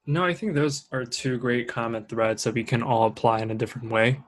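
A man's voice: mid-range voice, fairly even intonation, a little fast. Earnest man hosting a chat. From Singapore and Shanghai. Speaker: podcast host. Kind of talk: casual interview chat.

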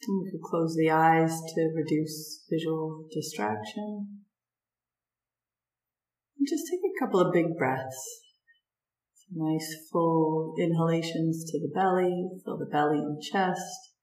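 A woman guides a meditation in a slightly low voice. She speaks very slowly, offering gentle instructions in short phrases and leaving long pauses between them. The sound is roomy, with noticeable echo around her words.

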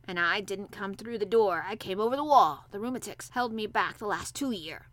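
A woman playing a crabbed, dry old gardener man with a Yorkshire accent, gruff and emphatic, in a storybook reading. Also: medium pitch, moderate pace, a bit bright, no echo, lightly acted. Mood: stubborn, tender. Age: older.

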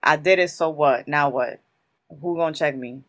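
A guest in their thirties from Newark speaks, answering in long, emphatic stories full of casual street phrasing. The voice sits at a middle pitch, neither high nor low. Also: normal speed. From Newark, New Jersey. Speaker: guest, creative in publishing.

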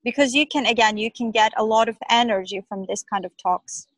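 A young woman doctor speaks English as a second language, and her voice sits fairly high. She answers thoughtfully and hesitantly, often pausing on "like" and restarting. The sound is dry and close, with little room around it. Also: a bit bright, no echo, poor audio. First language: not English. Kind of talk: interview answers.

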